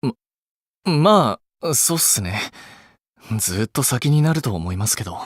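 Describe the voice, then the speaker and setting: A man's voice: medium pitch, very animated, a little slow, no room sound, clean studio sound. A man voicing an earnest, blunt but caring young idol in an anime game, speaking casually and a little awkwardly. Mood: bashful. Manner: casual clipped polite speech, flustered hesitations.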